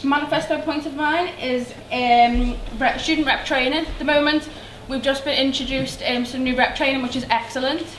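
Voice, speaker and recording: A woman's voice: high voice, steady tone, moderate pace, few pauses, fairly bright tone. Earnest Liverpool woman in echoey hall. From Liverpool, England. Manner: earnest, many ums and restarts. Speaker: election candidate. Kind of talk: hustings speech. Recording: echoey hall, faint background noise.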